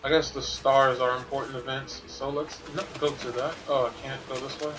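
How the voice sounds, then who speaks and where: A man's voice: mid-range voice, little pitch movement, normal speed, a bit bright, a little hiss or hum, low-quality recording. A man streaming a video game, giving informal commentary and thinking aloud as he plays. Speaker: gaming streamer. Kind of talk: casual Let's Play commentary, sometimes reading game text.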